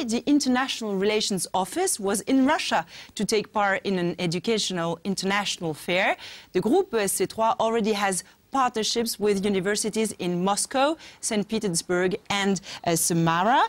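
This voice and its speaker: A woman presenting a news bulletin with scripted links and interview questions. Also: mid-range voice.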